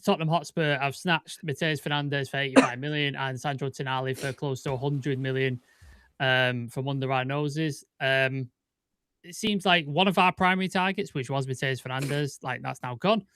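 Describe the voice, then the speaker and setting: A man's voice: medium pitch, fairly even intonation, fairly bright tone, smooth, no echo, studio quality. A man hosting a casual football podcast, chatty and joking with his co-hosts. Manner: chatty, joking, quick interjections. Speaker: podcast host.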